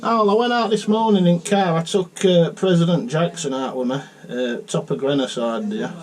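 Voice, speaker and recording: A man with a South Yorkshire accent chatting casually and haltingly as a radio operator, full of technical jargon. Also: a little high, darkish tone.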